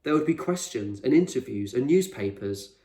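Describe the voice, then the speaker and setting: A man's voice: medium pitch, animated, normal speed, warm tone, close to an everyday voice. Man reading as firm eccentric explorer, echoey room. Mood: firm, earnest. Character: eccentric grown male explorer, firm, proud, reassuring mentor. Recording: roomy echo, distant microphone.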